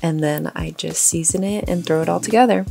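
A young woman narrates her cooking in an easygoing, chatty way, full of little "likes" and asides. Her voice sits low with a gravelly, fried edge. Light music plays faintly underneath. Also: brisk. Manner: relaxed, chatty, trailing off with likes. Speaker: vlogger. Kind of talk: casual meal prep voice-over. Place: home kitchen.

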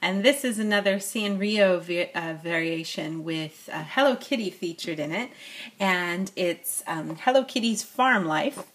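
A woman's voice: fairly low pitch, a little slow, a bit bright. A middle-aged woman casually narrating a toy unboxing at a tabletop, chatty and full of fillers. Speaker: toy review vlogger. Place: at a tabletop, off camera.

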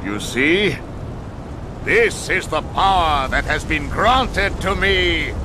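Evil old judge from a game, grandiose n menacing, drags words out, loud fire rumble behind. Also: male voice, lively intonation. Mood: gloating. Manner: grandiose, menacing, drawn-out delivery. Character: sinister, fanatical older male judge villain. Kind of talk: theatrical video game cutscene acting.

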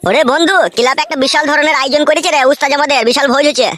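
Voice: high-pitched, steady tone, rapid-fire, fairly bright tone. A performer voicing a sly, coaxing young village man, a sidekick in a comedy cartoon. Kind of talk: Bengali comedy cartoon dub.

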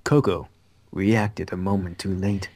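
A man's voice: deep voice, fairly even intonation, measured pace, darkish tone. A young adult man reading story narration aloud in an amateur style, on a headset in a small room.

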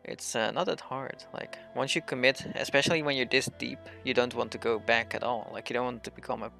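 Young guy streamer chatting casually over gameplay, bright voice with lots of fry, faint music behind. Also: mid-range voice, fairly even intonation, fairly quick. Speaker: game streamer. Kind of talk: casual live stream chat and gameplay commentary.

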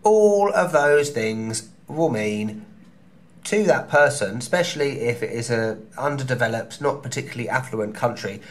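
A man's voice: medium pitch, expressive. A man in his thirties with a London accent, chatting cheekily through a travel advice vlog from a small hotel room, camera held close. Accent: London English. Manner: chatty and cheeky, quick asides. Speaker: travel vlogger. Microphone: camera held close at arm's length.

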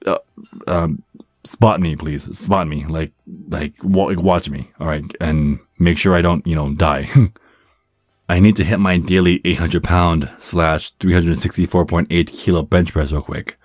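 A man's voice: deep voice, steady tone, a little slow, dry recording, clean studio sound. A man voicing a supportive, flirty werewolf gym bro in an ASMR roleplay, with an encouraging, stammering manner. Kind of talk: ASMR roleplay, one-sided conversation.

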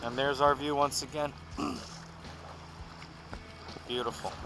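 Middle-aged man, casual outdoor vlogger, relaxed and rambling, action-cam audio with loud wind. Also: medium pitch, slow pace, creaky. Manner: relaxed, rambling with many fillers and restarts. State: walking while carrying a pack. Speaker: canoe trip vlogger. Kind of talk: casual outdoor vlog narration. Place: outdoors on a windy lakeshore and forest trail. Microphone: action camera held or worn. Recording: wind noise on action camera.

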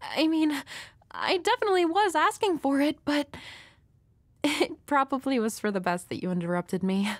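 A woman's voice: sits high, very animated, normal speed, no echo. A woman playing a shy, flustered young woman next door, nervous and hesitant, in an intimate romantic audio roleplay. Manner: nervous, hesitant, stammering, giggly.

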